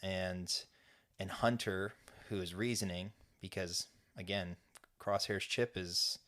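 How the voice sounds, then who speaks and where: A man's voice: low voice, steady tone, takes it slowly, a bit bright. A man co-hosting a fan podcast, recapping an episode in casual chat with lots of restarts and fillers. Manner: rambling, many restarts and fillers. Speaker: podcast co-host.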